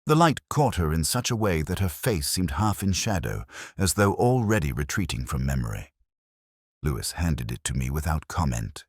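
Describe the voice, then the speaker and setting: A man playing a world-weary older detective inspector, narrating a mystery audio drama in a measured, literary, brooding manner. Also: a bass voice, big pitch swings, breathy edge, no echo, studio quality, exaggerated voice.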